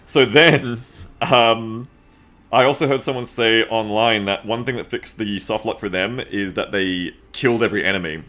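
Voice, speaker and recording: Low-pitched man rambling on a game stream. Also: moderate pace. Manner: rambling, excitable, heavy swearing. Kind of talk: casual livestream chat to viewers. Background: music.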